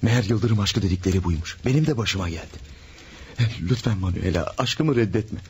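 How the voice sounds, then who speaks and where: A man's voice: deep voice, expressive, normal speed, dark tone, a little hiss or hum. An actor playing an infatuated married man in a radio drama, pleading and overwrought in his passion. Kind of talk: 1970s-style radio drama acting.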